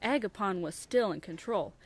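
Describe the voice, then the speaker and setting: A woman's voice: mid-range voice, expressive, normal speed. A woman playing a patient mother who explains and narrates a story in an audio drama. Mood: patient, explaining. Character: patient mother telling her child a story.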